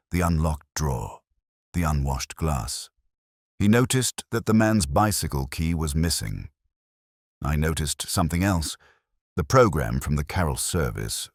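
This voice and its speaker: A man narrating a scripted first-person detective story in a measured, brooding, literary manner. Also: extremely low pitch, dry recording, clean studio sound.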